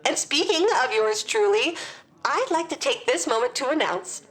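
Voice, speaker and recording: Small-town lady mayor, very high voice, pompous, vain, rambling, comedic audio drama. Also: animated, moderate pace, fairly bright tone. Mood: self-important.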